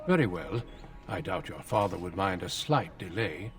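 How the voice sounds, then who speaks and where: An actor playing a grave, courteous warrior recruiter in a fantasy game, speaking formally and solemnly. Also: male voice, low-pitched, animated, normal speed, a bit bright, far from the mic, soft background music.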